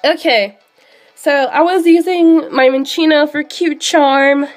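A young woman streaming a handheld game, sick and losing her voice, chatting excitably with many fillers. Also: high-pitched, slow pace, faint music behind. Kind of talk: live shiny hunting commentary.

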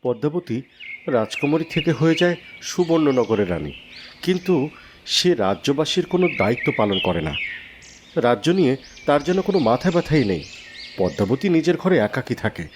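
A man's voice: mid-range voice, big pitch swings, a little fast, long pauses, background noise. A man narrating a scripted fairy tale for a cartoon.

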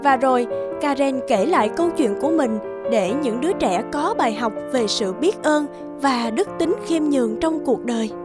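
A woman's voice: sits high, animated, normal speed. A woman giving scripted storybook narration for a children's tale. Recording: music behind the voice.

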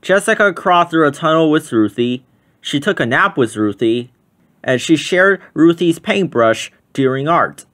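Children's read-aloud narrator for picture-book stories, mid-range pitch. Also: animated, unhurried, fairly bright tone.

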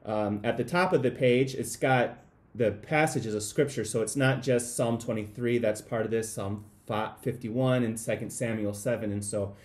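A man in his thirties explaining things to families in a relaxed, conversational way, recorded at home on a camera at desk distance. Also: medium pitch, normal speed. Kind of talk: casual explanatory chat. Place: small home room.

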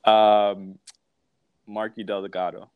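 A man in his thirties, a pro soccer player guest, chatting on a video call from home in a relaxed, hesitant way with many ums. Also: lowish voice, slow pace, smooth, dry recording, narrow, tinny audio. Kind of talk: casual video-call chat. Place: home room.

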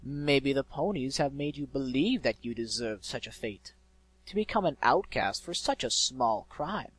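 A man's voice: mid-range voice, animated, breathy edge, no room sound, low-quality recording. A man voicing an eloquent, malevolent disembodied male spirit in a storybook, drawing out words in a theatrical coo. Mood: sly, cooing. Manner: drawn-out, theatrical, cooing.

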